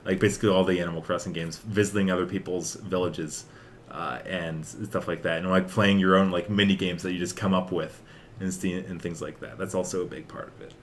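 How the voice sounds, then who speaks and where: A man's voice: low voice, steady tone, brisk, slight background noise. A young man co-hosting a podcast, chatting casually and enthusiastically about video games in a rambling way. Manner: enthusiastic, rambling, frequently chuckling. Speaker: podcast co-host.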